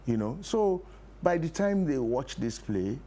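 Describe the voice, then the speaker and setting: Mellow Nigerian man, emphatic. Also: mid-range voice, sing-song, measured pace. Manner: emphatic, repeats key phrases. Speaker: stage play producer, interview guest. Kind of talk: TV interview, explanatory talk. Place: TV studio talk show. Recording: clear background noise.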